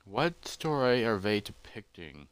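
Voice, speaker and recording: A man's voice: fairly low pitch, very animated, measured pace, smooth, no room sound, poor audio. A man voicing a massive, curious explorer creature in a fantasy roleplay, hesitant and thoughtful as he talks to himself. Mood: pondering. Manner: musing, hesitant, talking to himself.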